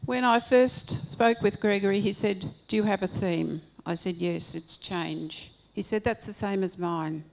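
An older woman interviewing a guest on a convention stage and reading aloud, with a clear, deliberate delivery into a handheld mic. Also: medium pitch, steady tone, slight background noise, low-quality recording.

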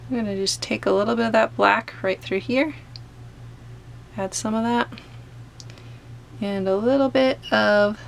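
A woman art teacher calmly talking through a step-by-step painting tutorial as she paints at a table. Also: mid-range voice, vocal fry, slight background noise. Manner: gentle, unhurried explaining while painting. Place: home studio, overhead camera on painting table.

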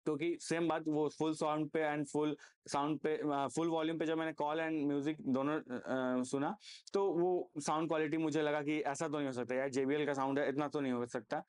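Casting: Indian-accented man, slightly high voice, casual gear reviewer, explanatory and unscripted. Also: steady tone, moderate pace, a little mellow, smooth. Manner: explanatory, frequently checks in with "okay".

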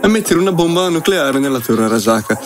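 A man's voice: on the high side, expressive, quick pace. A man commenting on gameplay as a streamer, sarcastic, joking and slangy. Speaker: game streamer. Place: small room at home, gaming setup. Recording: music and game sound behind.

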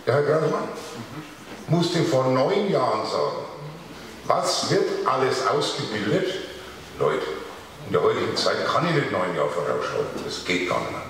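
A man's voice: medium pitch, big pitch swings, a little slow, pauses often, a little hiss or hum. An older man, a craftsman in the audience, making a blunt, emphatic comment on a handheld mic in a large showroom. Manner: blunt and emphatic, rising to sharp stresses. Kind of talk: spontaneous comment from the floor. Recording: echoey hall miked from far.

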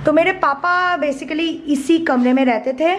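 A young woman vlogging casually and emotionally as she walks a handheld camera through the bare rooms of an old flat. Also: high-pitched, moderate pace. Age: young adult. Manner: chatty, emotional, mixes in English phrases. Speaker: YouTube vlogger. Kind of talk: casual home tour vlog.

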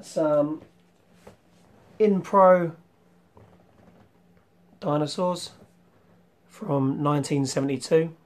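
A young man hosting a casual retro collection vlog, rambling with ums and clearing his throat, his voice slightly worn from losing it. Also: fairly high pitch, very slow, long pauses. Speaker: retro game collector vlogger. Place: small room full of toy shelves, indoors.

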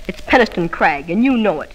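A performer voices a young boy in a 1940s radio play. He is sullen and proud, with a vengeful streak. The voice sits at a middle pitch. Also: animated, fairly quick, lightly acted, low-quality recording. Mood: sullen, intense.

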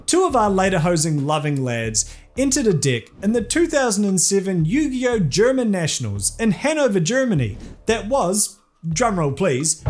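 A young man delivers scripted commentary with sarcastic, crude humour. His voice sits high and swings widely in pitch, lively throughout. Faint background music plays under his studio-recorded voice. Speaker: video essay host.

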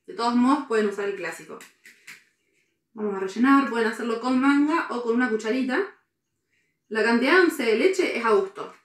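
A woman's voice: fairly high pitch, a little fast, long pauses. A woman in her twenties with an Argentine accent giving step-by-step cooking instructions in an echoey kitchen. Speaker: cooking presenter. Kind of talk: step-by-step recipe demonstration. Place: kitchen, indoors. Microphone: camera a few steps away. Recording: echoey room.

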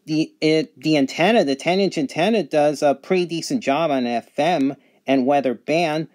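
A man's voice: on the high side, moderate pace, darkish tone. A man giving a casual, plain-spoken product review in short, simple statements. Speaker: gadget reviewer.

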